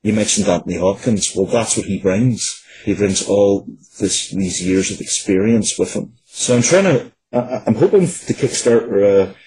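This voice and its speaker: A man in his forties speaks as an interview guest in a low, soft-edged voice. He is earnest and often restarts his phrases. There is faint noise behind him. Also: moderate pace, few pauses, rough recording. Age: 40s. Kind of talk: conversational interview answers.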